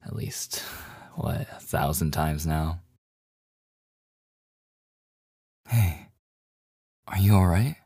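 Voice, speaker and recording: Man acting as a low-voiced, slow-talking devoted boyfriend. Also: mellow, husky, dry recording, clean studio sound, exaggerated voice. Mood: teasing. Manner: hesitant, stammering, intimate. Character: devoted, nervously joking boyfriend hiding obsessive yandere streak. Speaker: voice actor. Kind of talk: boyfriend ASMR audio roleplay.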